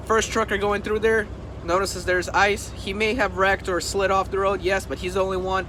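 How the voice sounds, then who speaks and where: A man's voice: sits high, flat delivery, normal speed, pushed and bright. A man talking casually to the camera as a trucking vlogger, urging his points emphatically and repeating them. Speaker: trucker and video host. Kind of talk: casual vlog talk to camera. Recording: noisy vehicle and outdoor background.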